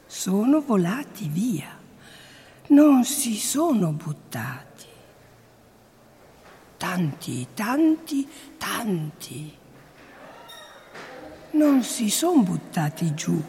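A woman's voice: medium pitch, warm tone, exaggerated voice. An actress in a radio play as a confused, insistent old Italian woman, plaintive and repetitive, drifting toward whispers.

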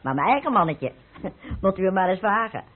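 An actress playing a painter's anxious, deferential, chatty housewife in an old radio play. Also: female voice, mid-range voice, sing-song, normal speed. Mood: chatty, teasing. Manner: chatty, eager, hesitant stammering. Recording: tinny, noisy old radio recording.

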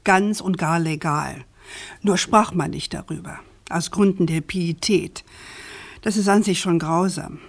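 An actress playing a persistent, assertive journalist in a radio crime drama, speaking in an articulate, pointed way. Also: female voice, on the low side, a little slow. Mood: grave, pointed.

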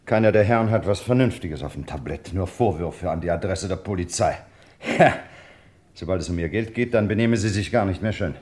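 A man's voice: low voice, a little fast, a little mellow, lightly acted. A man playing an easygoing, wry police commissioner in an old German radio crime drama, talking in a colloquial way. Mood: wry, placating.

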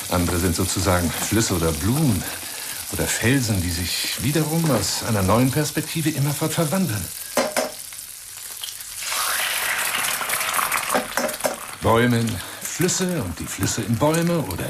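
A man's voice: mid-range voice, heavy background noise, natural voice. An actor in a radio drama monologue playing a pompous, flirtatious, lonely self-styled lion hunter who courts a woman by telephone in grandiloquent, insistent phrases. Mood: rhapsodic.

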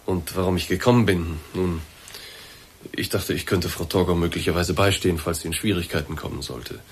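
A low-voiced, formal, insistent boss. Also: male voice, fairly even intonation, a little hiss or hum. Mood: polite, explanatory. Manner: polite, measured, insistent. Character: formal, persistent male boss exposing her story. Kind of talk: German radio play acting.

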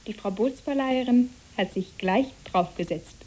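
A female performer playing a gentle, imaginative child who tells things matter-of-factly in a radio play. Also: a little high, normal speed, slight background noise, close to an everyday voice, low-quality recording. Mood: calm wonder. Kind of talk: literary radio play acting.

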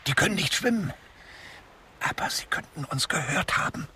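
A man's voice: a little high, expressive, moderate pace, noisy background, natural voice. A male actor in a German science fiction radio drama, playing a cynical, condescending and cowardly survivor with a formal way of talking. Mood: tense, commanding. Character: cynical, lecturing man, cowardly survivor of a future catastrophe.

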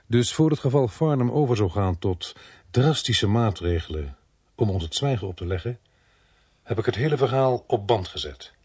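A dogged police inspector in a Dutch radio drama. The voice is husky, with animated rises and falls. He sounds suspicious and deliberate, making every point firmly. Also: fairly low pitch. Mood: wary, determined. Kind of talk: Dutch radio play acting with first-person narration.

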